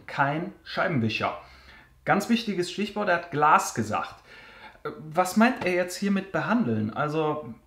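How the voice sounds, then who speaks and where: A man's voice: on the high side, fairly bright tone, echoey room. A man hosting a car care channel, casually answering viewer questions with frequent fillers and joking asides, recorded in a basement. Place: basement storage room.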